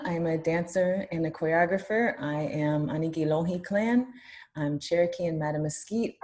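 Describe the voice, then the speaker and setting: A woman dancer and choreographer chatting casually on a video call, relaxed and chatty. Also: sits low.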